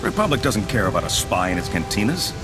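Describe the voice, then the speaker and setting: A scheming gambler of a spy in a game cutscene. His voice is deep and very expressive, smug even when cornered. Music plays loudly behind him. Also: fast talker. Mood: slick bargaining. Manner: smug, bargaining. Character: cornered male double-agent gambler, slick and scheming. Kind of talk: video game cutscene acting.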